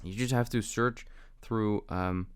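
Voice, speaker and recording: A man's voice: fairly low pitch, fairly even intonation, slow pace, clean tone. A young man hosting a casual how-to walkthrough, speaking in an improvised, hesitant way with frequent fillers. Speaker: tutorial host.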